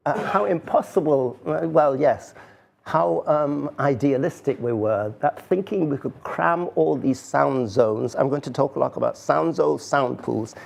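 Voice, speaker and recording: Creaky-voiced older man, halting informal speaker. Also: a little high, a little mellow. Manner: halting, rambling, self-deprecating. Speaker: artist and poet giving a talk. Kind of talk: informal, unscripted public talk.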